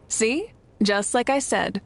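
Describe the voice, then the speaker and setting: A woman voicing a calm, composed and reassuring leader in an anime game. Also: fairly high pitch, vocal fry, slight background noise, exaggerated voice. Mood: reassuring, amused. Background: game music.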